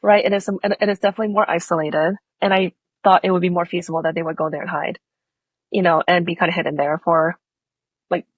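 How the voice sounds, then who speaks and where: Quick-talking woman with a slight accent. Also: fairly low pitch, husky, no echo, narrow, tinny audio. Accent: Singaporean-tinged American. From Singapore. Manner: animated, quick, acts out her own reactions. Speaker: author guest. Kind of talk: conversational interview.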